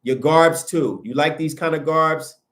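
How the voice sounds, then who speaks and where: A man hosting a livestream, preaching in a casual, animated way and answering viewer comments as he goes, with a sniffly nose. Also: a little high, a little slow. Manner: animated, preachy, rises sharply when emphatic. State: sniffling from sinuses. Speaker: livestream host.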